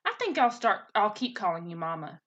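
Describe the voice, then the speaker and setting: A woman's voice: medium pitch, big pitch swings, fairly quick, fairly bright tone, highs cut off. A woman voicing a young male gosling, childlike and emotional, questioning and defiant, in a storybook reading. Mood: uneasy, curious. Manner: childlike, emotional, pleading and protesting. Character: young male gosling, moody, questioning, defiant, attached to his robot mother.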